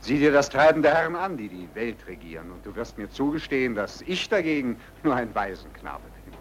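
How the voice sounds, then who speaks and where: An actor playing a suave, smugly amoral racketeer in a vintage radio play, with a nonchalant, theatrical delivery. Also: male voice, mid-range voice, sing-song, a little fast, a little hiss or hum, lightly acted. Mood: breezy, philosophizing. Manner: theatrical, nonchalant, swinging from muttering to declaiming.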